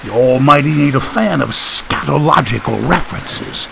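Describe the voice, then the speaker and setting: A man's voice: medium pitch, lively intonation, normal speed, vocal fry, background noise. A man voicing a folksy, preachy wisecracking man who talks in country grammar and sermonizing quips. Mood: teasing.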